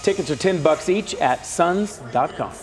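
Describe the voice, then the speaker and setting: Higher-pitched American male news anchor. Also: lively intonation, measured pace, faint music behind. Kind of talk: scripted TV news delivery.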